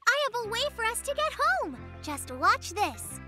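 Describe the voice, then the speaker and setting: Tiny, eager, very high-pitched girl fox. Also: sing-song. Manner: gushing baby talk, drawn-out words. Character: small eager girl fox, sweet, pleading, excitable. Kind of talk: children's cartoon voice acting. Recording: music behind the voice.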